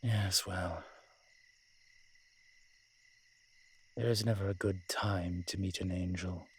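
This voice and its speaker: A man playing a wry, flirtatious immortal incubus demon in a roleplay audio drama, with a husky voice and a dry, teasing, conversational manner. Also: low-pitched, fairly even intonation, normal speed, a little hiss or hum, close to an everyday voice. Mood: resigned.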